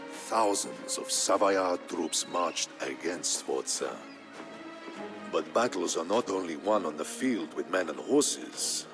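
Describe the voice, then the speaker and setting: A man narrating a historical tale in a scripted, dramatic style, solemn and measured. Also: low-pitched, vocal fry. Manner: solemn, measured storytelling delivery. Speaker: narrator of a strategy game campaign story. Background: background music.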